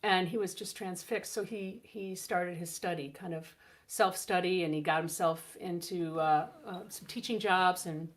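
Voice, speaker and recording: A woman co-hosting a music show, chatting casually between songs in a soft, rambling way with plenty of fillers. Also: on the low side, fairly even intonation, normal speed.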